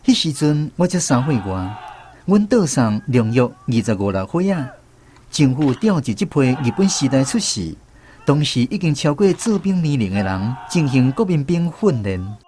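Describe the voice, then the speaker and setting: Taiwanese guy telling his memories as radio drama narrator, mid pitch, really expressive intonation. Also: moderate pace, slight background noise. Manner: reminiscent storytelling. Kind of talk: first-person scripted radio drama narration.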